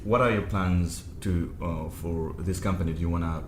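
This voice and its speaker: A man's voice: low voice, fairly even intonation, normal speed. A man hosting a podcast interview, asking questions casually and laughing easily. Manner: brief back-channel replies, laughs easily. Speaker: podcast host. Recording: echoey room with faint noise.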